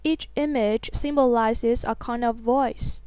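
A woman with a high voice narrates a scripted tutorial. Her tone is smooth and clean, captured very dry. She explains things step by step in an instructional way. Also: fairly even intonation, slow, darkish tone, no room sound.

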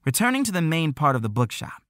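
A man narrating an audiobook story in an expressive, scripted reading style. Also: a little high, fast talker, no echo, studio quality.

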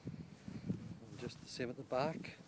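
A man's voice: mid-range voice, takes it slowly, noisy background. A man casually walking through the features of a small tent, outdoors on a lawn, filming on a handheld camera. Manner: plain, matter-of-fact, pointing out features. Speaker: outdoor gear reviewer. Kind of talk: casual product walkthrough. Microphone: handheld camera held by the speaker.

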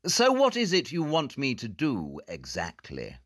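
Aloof male British detective, played by an actor: pedantic, formal, hugely animated intonation, dry studio sound. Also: medium pitch, measured pace, dry recording, exaggerated voice. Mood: businesslike. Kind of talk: audio drama acting.

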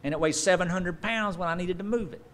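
A man in his forties preaches into a stand mic, lively and expressive, his pitch leaping as he acts out the people in his stories. There is a faint hiss behind him. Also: quick pace. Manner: animated storytelling, acts out quoted voices. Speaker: pastor. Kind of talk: sermon. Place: church stage with dark curtain. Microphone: stand mic at lectern.

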